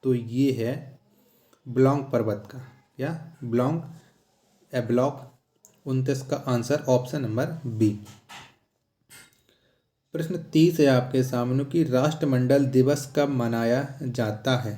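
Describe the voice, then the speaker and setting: A man's voice: medium pitch, slow, pauses often, mellow. A man teaching a quiz lesson as an exam-prep teacher, in a didactic way that repeats questions and answers. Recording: echoey, roomy sound.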